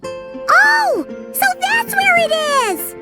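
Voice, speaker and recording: A woman voicing a small, childlike, excitable fairy companion in an anime-style fantasy game, squeaky and cheeky. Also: extremely slow, loud music behind the voice, full character voice. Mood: curious, excited. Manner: exclamatory, blunt.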